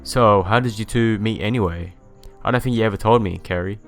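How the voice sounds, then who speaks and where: A man voicing a kindly middle-aged father in a visual novel, gentle and courteous. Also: lowish voice, fairly quick, faint music behind, close to an everyday voice. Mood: friendly, curious. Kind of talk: visual novel character dialogue.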